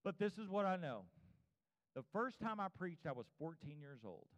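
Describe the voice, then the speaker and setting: A man's voice: high voice, sing-song, moderate pace. A man in his fifties preaching a church sermon, speaking earnestly and directly to his congregation in repeated, exhorting phrases. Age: 50s (52). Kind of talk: evangelical church sermon with altar call. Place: church service before a congregation. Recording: muffled, reverberant large room.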